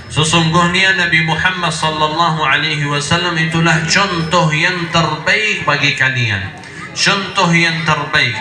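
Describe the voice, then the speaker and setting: A man's voice: on the high side, echoey room, rough recording. A man preaching to a live congregation with an Arabic accent, slightly stuffy from a cold, often asking the crowd to answer back. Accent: Arabic-accented Indonesian. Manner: call-and-response with the crowd, emphatic. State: has a cold. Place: outdoor religious gathering on a street.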